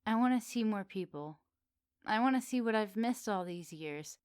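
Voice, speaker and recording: A woman voicing a flirty, kindly, lonely witch in an intimate, softly teasing ASMR roleplay, close to the microphone. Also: medium pitch, expressive, moderate pace, clear, resonant tone, dry recording, lightly acted. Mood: wistful, hopeful. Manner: soft, teasing, cozy delivery to one listener. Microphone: close dry microphone.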